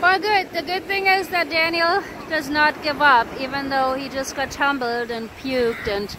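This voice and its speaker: A woman's voice: sits high, steady tone, a little slow. A woman vlogging with a handheld phone on an ocean beach, exclaiming and laughing often as she narrates. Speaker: family vlogger filming. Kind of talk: excited casual narration while filming. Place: outdoors on an ocean beach with surf. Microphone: handheld phone camera. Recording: loud surf noise behind.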